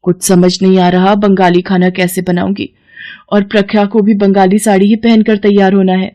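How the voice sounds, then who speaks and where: A woman's voice: medium pitch, level tone, normal speed, dark tone, muffled recording, natural voice. A woman voicing a flustered, anxious middle-aged neighbour in a family story.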